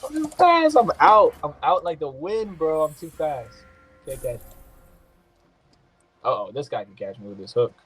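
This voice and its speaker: A man's voice: fairly high pitch, sing-song, normal speed, pauses often, fairly bright tone, rough recording. Male gaming host, slightly nasal, loose and jokey, trash-talks his opponents. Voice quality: sometimes nasal. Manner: loose, joking, slangy trash talk. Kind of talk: casual gameplay commentary.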